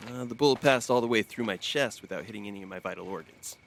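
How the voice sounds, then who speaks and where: Low-voiced, evasive fugitive man. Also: fast, gravelly, a bit bright. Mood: wry, casual. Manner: hesitant, stammering, wry. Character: evasive injured male fugitive agent, wryly friendly. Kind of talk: audio drama acting.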